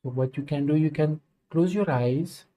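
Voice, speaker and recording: A middle-aged man from France with a French accent, hosting a live stream in halting, filler-filled English. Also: fairly high pitch, mellow, a little hiss or hum. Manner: halting, many fillers, non-native English phrasing. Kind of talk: casual spoken introduction.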